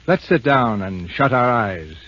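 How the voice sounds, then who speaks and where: A man's voice: mid-range voice, sing-song, measured pace, fairly bright tone. A man hosting and narrating a radio drama in formal, grandiloquent 1930s scripted style. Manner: grandiloquent, oratorical. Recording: muffled, husky-sounding old radio broadcast.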